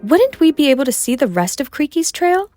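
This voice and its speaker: A woman's voice: sits high, big pitch swings, a little fast, a little mellow, faint music behind, pitched above the performer's own voice. A woman voicing a curious, doubting young woman who questions eagerly, in a children's storybook reading.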